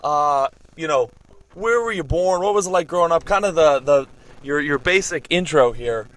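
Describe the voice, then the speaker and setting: A man hosting a podcast interview, eager and excitable, asking questions with many "you know"s. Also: on the high side, moderate pace, low-quality recording. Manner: excitable, eager, lots of fillers. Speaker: podcast host. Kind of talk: casual interview.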